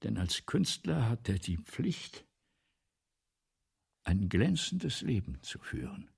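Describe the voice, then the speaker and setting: Radio play lead: bitter, grandiose writer, very husky, swings from insistent rhetoric to hushed whispers. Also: male voice, lowish voice, sing-song, slow pace, full character voice. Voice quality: husky, gravelly, breaking into whispers. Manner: theatrical, rhetorical, building repetitions then sudden hushes. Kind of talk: German radio play monologue, 1970s.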